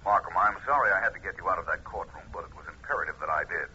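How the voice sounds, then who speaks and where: An actor playing a suave, self-assured American private detective with urbane, assertive delivery in a 1950s radio drama. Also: male voice, low voice, very fast, sharp tone, slightly rough, full character voice. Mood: urgent, insistent. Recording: muffled old radio, faint noise.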